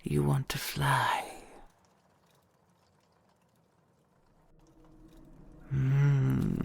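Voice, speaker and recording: A woman playing a possessive, doting dragon queen in an audio roleplay, speaking in a breathy, creaky near-whisper with regal, formal tenderness. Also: a bass voice, full character voice. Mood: adoring. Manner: slow, regal, formal endearments with soft sighs.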